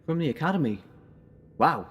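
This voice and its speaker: A man's voice: a little high, expressive, moderate pace, breathy edge. Man playing wry, urgent time-travelling alien hero. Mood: flippant, puzzled. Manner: wry, flippant, bursts into urgency. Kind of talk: audio drama acting.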